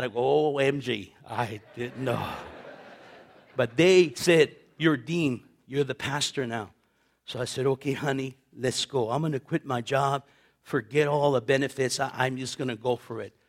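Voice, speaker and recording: A man in his late fifties delivers a sermon, his voice sitting a little high for a man. He tells his own story in a lively way, repeating words, laughing and acting out the voices of family members and his pastor. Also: gravelly. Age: 50s. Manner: animated, repeats words, laughs often, acts out quoted voices.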